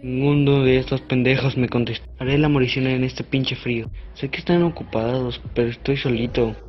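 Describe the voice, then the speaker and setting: Un hombre haciendo de mexicano malhablado y enamorado. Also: male voice, medium pitch, steady tone, a little fast, highs cut off, close to an everyday voice. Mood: lonely, wistful. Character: foul-mouthed, lovelorn, self-pitying young Mexican man. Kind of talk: fandub comic voice acting.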